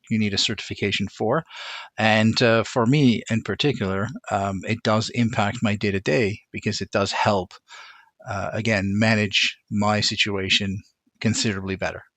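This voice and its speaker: A man from Lebanon answering interview questions conversationally, pausing often as he thinks things through in a measured, reflective way. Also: fairly low pitch, a bit bright, dry recording. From Lebanon, immigrated to Montreal as a teenager. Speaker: interview guest, corporate aviation operations manager.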